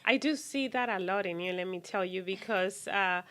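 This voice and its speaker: A woman's voice: mid-range voice, moderate pace, fairly bright tone. A woman hosting an interview show, effusive and rambling through her questions with fillers. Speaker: host.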